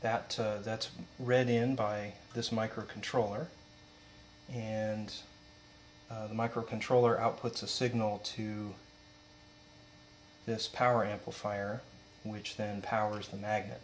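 A man's voice: fairly low pitch, slow, low-quality recording. A young man giving an informal, matter-of-fact demonstration, explaining things as a student presenter. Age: young adult. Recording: steady mains hum, background noise.

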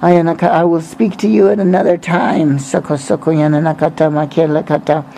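A man's voice: fairly high pitch, flat delivery, brisk, gravelly, dark tone. A man preaching a religious prophecy, breaking short phrases up with repetitive, incantatory chanting in tongues, over some background noise.

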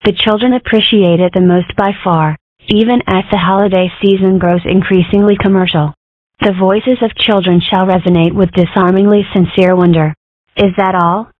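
A woman's voice: mid-range voice, fairly even intonation, normal speed, no room sound. Phone-like narrow recording, dry room, woman, scripted storybook-like narration. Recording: muffled, phone-like narrow sound.

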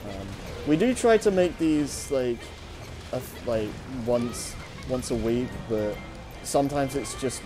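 A man co-hosting a show, chatting casually and unscripted in a hesitant, halting way. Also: mid-range voice, animated, music in the background. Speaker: co-host of a story-reading show. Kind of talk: casual unscripted chat over gameplay.

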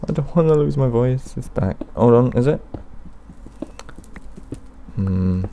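A young man casually talking through a video game, mumbling to himself in an unhurried way, his throat bothering him. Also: medium pitch, expressive, mellow, slight background noise. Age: young adult. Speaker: gaming channel host. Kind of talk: casual let's play commentary.

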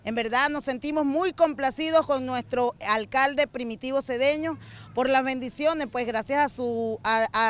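High bright-voiced Venezuelan woman host. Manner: effusive, fervent, repeats herself. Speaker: community TV host. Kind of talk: street interview on local TV. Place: outdoors on a residential street. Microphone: handheld mic.

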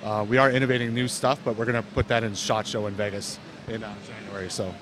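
A man in his thirties, a company representative, describing gear in a loose, relaxed way with many fillers, speaking into a handheld mic in an indoor expo hall. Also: on the low side, fast talker, over music. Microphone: handheld mic held by interviewer.

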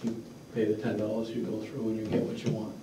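A man's voice: lowish voice, completely flat delivery, quick pace, noisy background. A man speaking in a blunt, matter-of-fact way at a public meeting, picked up by a distant mic in an echoey room. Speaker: fundraiser organizer speaking at a town meeting. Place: mid-sized indoor meeting room. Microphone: far room microphone.

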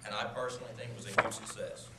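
A man's voice: mid-range voice, level tone, racing. A man standing at a podium in a large council chamber, giving a formal address full of thanks and named acknowledgements. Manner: earnest, lists names and thanks. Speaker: public speaker at city council. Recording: roomy hall sound with noise.

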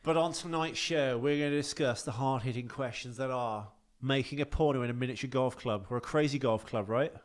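A man's voice: mid-range voice, fairly quick, few pauses. Man bantering as podcast co-host. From England. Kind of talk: casual bantering podcast chat. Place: small indoor garage-style podcast studio. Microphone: close microphone on boom arm.

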